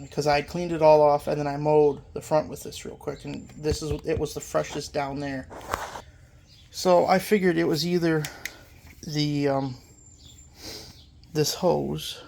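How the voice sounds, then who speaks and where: Gravelly voice, stuffed-up nose after a cold, rambling mechanic, lots of ums, casual workshop walkthrough. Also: medium pitch, a little mellow. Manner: rambling, self-correcting, many fillers. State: congested from a lingering cold. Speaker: small engine repair channel host. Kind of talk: casual hands-on repair walkthrough.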